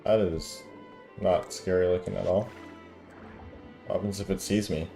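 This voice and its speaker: A man's voice: deep voice, fairly even intonation, a little slow, gravelly, dark tone, faint music behind. A man giving casual gameplay commentary, talking to himself and to the game as he plays. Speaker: gamer playing and reading game dialogue aloud.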